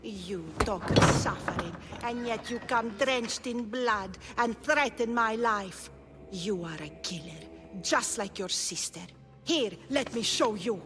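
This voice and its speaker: A woman's voice: a little high, slow pace. A woman voicing a sadistic, gloating cult priestess in a theatrical, menacing manner for a video game cutscene. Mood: cold, menacing. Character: sadistic, gloating cult priestess who claims stolen children. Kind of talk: dramatic game cutscene acting. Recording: long reverb, music behind.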